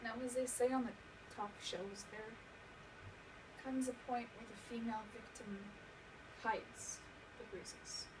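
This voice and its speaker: A woman acting a haunted young survivor of abuse in a dramatic stage monologue, halting and emotional, repeating words for emphasis. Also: fairly high pitch, fairly even intonation, close to an everyday voice. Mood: subdued, reflective. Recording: distant mic, clearly noisy room recording.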